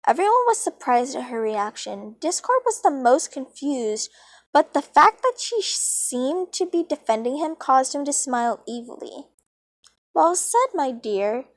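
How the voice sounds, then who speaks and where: A woman's voice: high-pitched, big pitch swings, unhurried, no echo. A woman reading a story aloud as an amateur narrator, informal and dramatic, with casual asides. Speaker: fan fiction reader.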